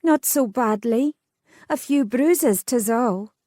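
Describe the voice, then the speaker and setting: A woman's voice: a little high, slow, mellow, no room sound, studio quality, pitched above the performer's own voice. A woman voicing a wry, headstrong young noblewoman, speaking in a lilting, old-fashioned way.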